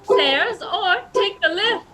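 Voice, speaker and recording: A woman's voice: very high-pitched, expressive, takes it slowly, full character voice. A woman acting as a self-assured, theatrical lady detective in a comic radio play, declaiming pompously. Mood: salesy announcing. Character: theatrical female amateur detective explaining a murder, self-assured. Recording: music behind the voice.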